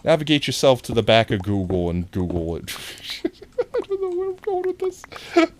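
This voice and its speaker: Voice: mid-range voice, wildly swooping pitch, moderate pace, a little mellow, slight background noise. An American game streamer from New York chatting casually in a playful, jokey, giggly manner. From New York, United States. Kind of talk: casual gaming stream chat.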